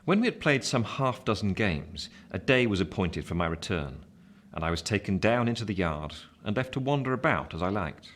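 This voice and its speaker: A reflective man narrating his boyhood in first person for a radio drama. His voice is fairly low, measured and wry, over faint noise. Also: big pitch swings, a bit bright.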